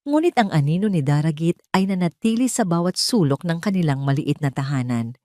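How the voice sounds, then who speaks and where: A woman's voice: deep voice, expressive, fairly quick, dark tone, no room sound. A woman reading a scripted, dramatic story as narrator.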